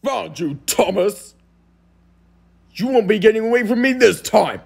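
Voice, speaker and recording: Guy doing a snooty boastful cartoon train, gravelly and kinda high, voice swooping all over. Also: lively intonation, measured pace, exaggerated voice. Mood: triumphant, scornful. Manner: pompous, theatrical, sneering. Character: boastful, scornful silver steam engine, male, pompous rival. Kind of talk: amateur cartoon voice audition.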